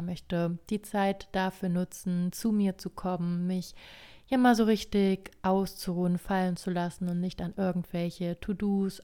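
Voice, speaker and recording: Soft-spoken lady host, bit hoarse from a cold, mellow low voice, nice and smooth. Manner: gentle, unhurried, with fillers. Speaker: podcast host guiding writing meditations. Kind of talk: calm guided podcast talk.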